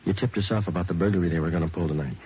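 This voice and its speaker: An actor playing a terse, matter-of-fact police detective sergeant in a 1940s radio drama, speaking in a clipped, flat manner. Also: male voice, low voice, rapid-fire, breathy edge. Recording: hissy muffled old radio broadcast.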